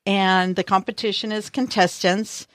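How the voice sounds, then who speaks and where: A woman hosting a podcast, interviewing guests in a relaxed, encouraging way. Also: mid-range voice, measured pace, no room sound, studio quality. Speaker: podcast host.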